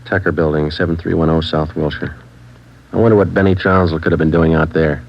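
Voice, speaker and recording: An actor playing a terse, deadpan police sergeant who also narrates, in a 1940s radio drama. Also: male voice, a bass voice, a little fast, gravelly, background noise. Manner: flat, clipped, deadpan delivery. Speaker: narrator and detective. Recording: dull lo-fi 1940s radio broadcast.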